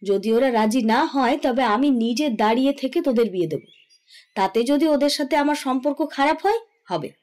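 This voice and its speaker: High-voiced fond, teasing mother played by a woman. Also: animated, moderate pace, a little mellow. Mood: fond, reassuring. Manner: scolding fondly, theatrical. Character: affectionate, teasing middle-aged mother and aunt. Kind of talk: Bengali audio story acting.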